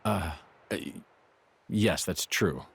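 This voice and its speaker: An actor playing a reserved, obedient warrior companion in a video game, with a husky voice and deferential manner. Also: male voice, deep voice, takes it slowly, heavily acted. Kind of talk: video game cutscene acting.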